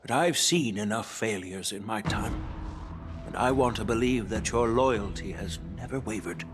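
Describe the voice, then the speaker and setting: An actor playing a dignified, candid old elder in a video game, speaking in a measured, formal and frank way. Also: male voice, fairly low pitch, lightly acted. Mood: scornful, firm. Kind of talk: scripted video game dialogue. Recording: game music under the voice.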